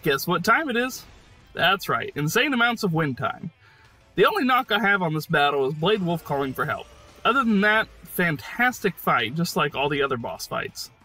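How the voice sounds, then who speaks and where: A man's voice: fairly high pitch, big pitch swings, normal speed, pushed and bright, faint music behind. A man giving enthusiastic scripted video game commentary in a jokey, emphatic way.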